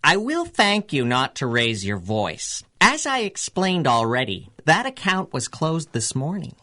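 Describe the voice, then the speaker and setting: An unbending bank clerk in a thirties radio play. Her low voice is slow and formal, with haughty swoops of pitch. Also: wildly swooping pitch, bright, forceful tone, full character voice. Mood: prim, unyielding. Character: prim, officious, unbending female bank clerk. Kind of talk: 1930s radio drama acting.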